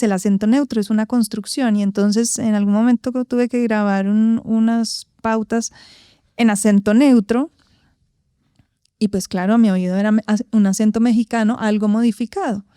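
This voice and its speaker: A woman from Colombia with a Bogotá accent, a guest speaking thoughtfully in an interview, often pausing to find words and adding tag questions. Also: fairly high pitch, steady tone, mellow, clear, resonant tone, no room sound, clean studio sound. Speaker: guest, actress and vocal coach. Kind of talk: reflective interview talk.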